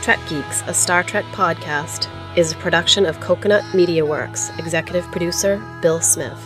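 A woman's voice: on the low side, moderate pace. Woman announcer over guitar music. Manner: smooth, even announcer delivery. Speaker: podcast announcer. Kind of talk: scripted credits read.

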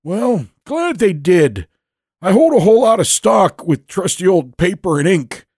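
A frail, folksy old veteran in an audio storybook. His aged voice is strained and pitched well up, and he draws words out slowly with old-fashioned, unhurried courtesy. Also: big pitch swings, a little mellow, slightly rough, no echo, studio quality, exaggerated voice. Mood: pleased, earnest. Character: elderly frail Vietnam veteran man, folksy and unhurried job applicant.

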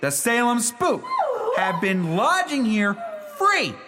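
An actor playing an exasperated, lovestruck young bridegroom in a radio play, earnest, wordy and theatrical. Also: male voice, very high voice, wildly swooping pitch, slow, heavy background noise, full character voice. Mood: exasperated, commanding.

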